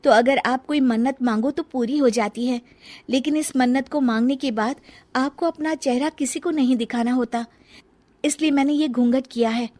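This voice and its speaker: A woman's voice: sits high, moderate pace, darkish tone, pitched above the performer's own voice. A woman voicing an anxious, meek young daughter-in-law with a secret in a family story, pleading and deferential. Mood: nervous, deferential. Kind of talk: dramatized Hindi family storybook acting.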